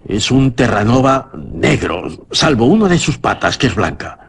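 A man's voice: medium pitch, sing-song, a little slow, creaky, slight background noise. An actor playing a nervous, evasive man haunted by hallucinations in a radio suspense drama, with hesitant, stammering delivery. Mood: haunted, insistent.

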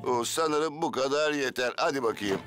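A man's voice: medium pitch, moderate pace, music in the background, close to an everyday voice. A man voicing a cheerful, fussy bus driver in a cartoon dub. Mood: busy, eager.

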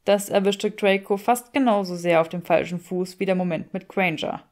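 A woman reading an audiobook, telling the story expressively in a measured, wry manner. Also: mid-range voice, steady tone, darkish tone. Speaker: audiobook reader. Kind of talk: expressive scripted fiction narration in German.

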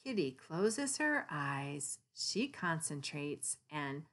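A woman narrating a children's picture book in an expressive read-aloud style. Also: low-pitched, slow.

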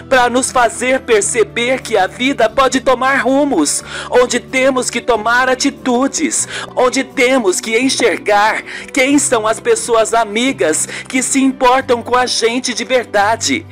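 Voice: mid-range voice, fairly bright tone, voice pushed up. A performer voicing an anxious, devout woman in love in an amateur radio soap, melodramatic and heartfelt.

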